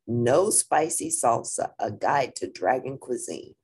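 A woman with a husky voice reading a picture book aloud to young listeners in an animated, deliberate manner, with brief asides. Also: a bass voice. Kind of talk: expressive children's read-aloud with brief asides.

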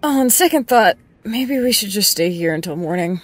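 A woman voicing a timid, soft-hearted young cartoon man with a hesitant, placating manner. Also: mid-range voice, lively intonation, moderate pace, a little hiss or hum, lightly acted. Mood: uneasy.

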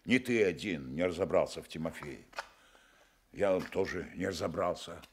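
An actor playing a calm, consoling village man, speaking plainly in a Soviet radio drama. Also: deep voice, normal speed, slight background noise. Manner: plain, colloquial, reasoning.

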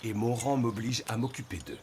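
An actor playing a dutiful, courteous young Gaulish merchant, speaking earnestly in animated drama dialogue. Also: male voice, mid-range voice, brisk, noisy background, close to an everyday voice. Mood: firm, dutiful.

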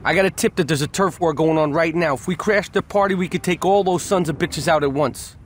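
A man playing a wary, grumbling street gang lieutenant in a video game, casual and gruff with an American accent. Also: a little high, fairly quick, natural voice. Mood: serious. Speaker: gang member character. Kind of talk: video game dialogue acting. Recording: loud engine and street noise.

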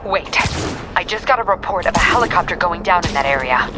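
A woman's voice: fairly low pitch, lively intonation, brisk, music in the background, natural voice. A woman playing a weary, concerned police commander with a businesslike manner in video game drama.

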